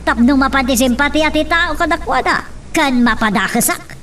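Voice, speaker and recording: A woman acts as a haughty, scheming political matriarch in an audio drama. Her voice is fairly high and heard at some distance from the mic, over noise, commanding and defiant. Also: normal speed, fairly bright tone. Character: scheming, imperious wealthy mother in politics. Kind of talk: radio drama acting.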